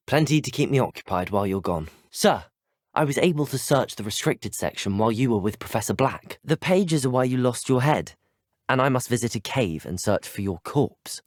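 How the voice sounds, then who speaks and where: Man voicing a lively, polite young British wizard student. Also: mid-range voice, dry recording, studio quality, close to an everyday voice. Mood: earnest, polite. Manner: courteous, well-spoken, formal. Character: polite, earnest, curious teenage boy wizard student. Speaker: player character voice actor. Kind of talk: scripted video game voice lines.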